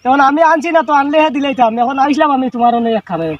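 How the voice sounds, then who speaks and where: Casting: male actor as aggrieved, whining village man for a comedy skit, Sylheti accent, rapid plaintive delivery, off-mic outdoors. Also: very high voice, lo-fi sound. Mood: complaining. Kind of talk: amateur comedy skit acting. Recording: distant outdoor mic, faint noise.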